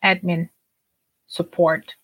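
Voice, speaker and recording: A woman in her forties who grew up in Germany with Turkish as her first language, talking casually as a podcast guest, chatty with frequent laughs and halting restarts. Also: fairly low pitch, flat delivery, fairly bright tone. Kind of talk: casual interview talk.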